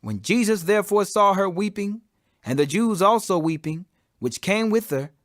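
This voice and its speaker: A man's voice: high-pitched, expressive, normal speed, a bit bright, no room sound, clean studio sound. A man giving a solemn, emphatic, dramatized audiobook reading of the Bible. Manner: solemn, emphatic, raises voice for quoted speech. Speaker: scripture reader.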